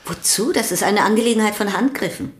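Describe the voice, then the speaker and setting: An actress plays a sharp-tongued sister in a radio play, cutting through with dry, pointed remarks. The recording has a roomy sound. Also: female voice, mid-range voice, quick pace, lightly acted. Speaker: pianist figure.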